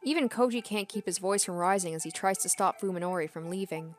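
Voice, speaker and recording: Woman reading a game over soft music. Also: mid-range voice, fast. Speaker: game streamer. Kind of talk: reading visual novel narration aloud, with casual asides.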